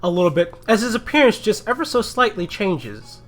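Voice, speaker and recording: Lively high-voiced male game master. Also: fairly quick, soft background music. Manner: laughs often, loose and playful. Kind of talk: narrating a tabletop game with friends.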